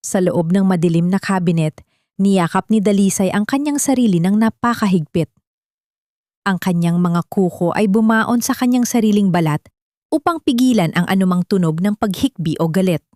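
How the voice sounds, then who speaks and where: Lady narrator reading a dramatic audio story, mid pitch, really dry studio sound. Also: moderate pace, mellow, dry recording.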